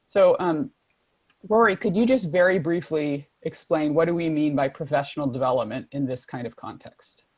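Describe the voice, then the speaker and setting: A woman's voice: low-pitched, moderate pace, a little mellow, dry recording. A woman moderating a panel over a video call, walking through slides in an organized, directive way. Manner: organized, directive, listing points. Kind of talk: presentation with slides.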